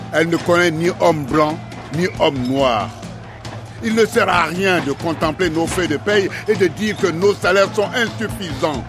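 An actor playing an angry, defiant railway worker demanding equal pay, fiery and declamatory, in a dramatized novel. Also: male voice, on the high side.

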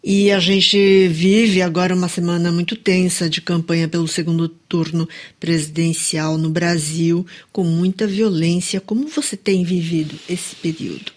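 A woman's voice: on the low side, flat delivery, normal speed, few pauses. A woman with a Brazilian accent conducting a radio interview in a studio, moving between prepared introductions and questions.

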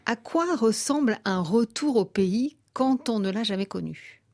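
A woman interviewing a guest on the radio, asking measured, composed questions. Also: on the high side, animated, darkish tone, no room sound.

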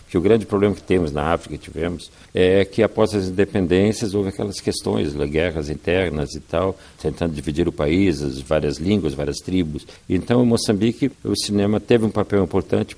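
Older man with a very low voice. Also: a little mellow. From Brazil. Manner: measured, storytelling, tags sentences with "né". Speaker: guest, writer and filmmaker. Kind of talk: reflective interview answers.

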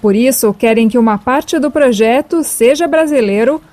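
A woman's voice: fairly high pitch, normal speed, a little mellow, no room sound. A woman with a Brazilian accent reading scripted narration as host of a news podcast.

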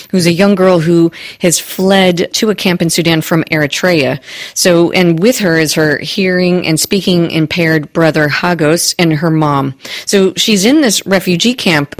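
A woman hosting a literature podcast, presenting and asking questions in a clear, measured way. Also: lowish voice, no room sound. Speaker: podcast host. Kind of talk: radio podcast interview.

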